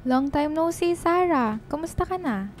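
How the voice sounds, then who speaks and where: A woman's voice: high voice, lively intonation, measured pace, a little hiss or hum. A woman playing a chatty, teasing lady employer in a radio drama. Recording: music bed behind the voice.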